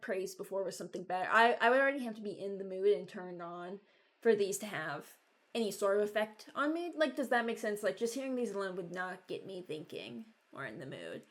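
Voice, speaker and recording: Young woman rambling casually to viewers. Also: mid-range voice. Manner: rambling, hesitant, thinking aloud. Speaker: video creator. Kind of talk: casual reaction chat.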